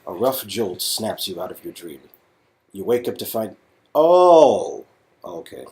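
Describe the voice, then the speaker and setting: A man's voice: lowish voice, very animated, measured pace, darkish tone. A man narrating an interactive story in a playful way, with casual asides.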